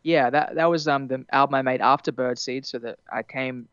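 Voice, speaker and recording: Young man, australian accent with american edges, smooth voice, slightly muffled sound, rambling with fillers. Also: mid-range voice, fairly even intonation, fairly quick, fairly bright tone, dry recording. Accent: Australian, partly Americanized. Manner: rambling, many fillers and restarts, laughs easily. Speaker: musician guest. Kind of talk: casual interview chat.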